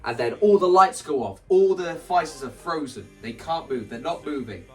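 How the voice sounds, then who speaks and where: A man gives a casual, animated walkthrough of staging ideas. He sounds some distance from the microphone, and music plays underneath as he talks. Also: a little high, moderate pace. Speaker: video creator explaining stage play ideas. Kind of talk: casual spoken walkthrough to camera.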